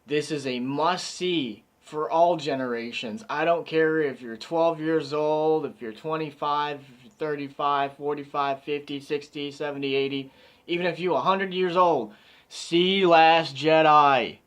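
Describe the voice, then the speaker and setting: A man giving a casual, rambling movie review, excited and slightly hoarse from talking so much. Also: a little high, unhurried. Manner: enthusiastic, rambling with many fillers. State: slightly hoarse, losing his voice.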